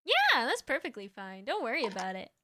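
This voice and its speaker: A woman's voice: fairly high pitch, wildly swooping pitch, fairly quick, sharp tone. A woman hosting a casual livestream chat, friendly and upbeat, with a voice that is slightly tired. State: voice slightly raspy from tiring. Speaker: streamer host, VTuber.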